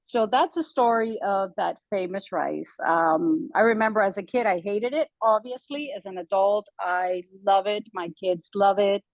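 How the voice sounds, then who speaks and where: A woman's voice: mid-range voice, moderate pace, few pauses, dry recording, rough recording. A woman from Cuba, a guest cook, talking casually with frequent fillers. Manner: chatty, frequent "um" fillers, conversational. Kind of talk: casual storytelling.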